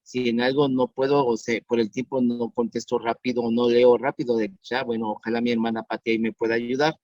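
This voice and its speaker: A man in his fifties preaching an online sermon as pastor, earnest and repeating his questions slowly for emphasis. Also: medium pitch, level tone, a little mellow, no echo. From Mexico. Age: 50s. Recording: dull dry sound.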